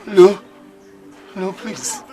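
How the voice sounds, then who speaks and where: A man's voice: high voice, animated, extremely slow, heavily acted. An actor playing a remorseful man pleading with the woman he wronged to come back. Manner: pleading, repeating her name. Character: remorseful man begging his estranged lover. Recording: music underneath.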